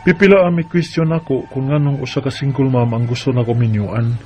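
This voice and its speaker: An actor playing a devoted, playful young husband in a sentimental radio drama, tender and earnest. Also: male voice, medium pitch, steady tone, fairly quick, darkish tone, lightly acted. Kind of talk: sentimental radio drama acting with first-person narration. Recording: dull lo-fi radio sound with music.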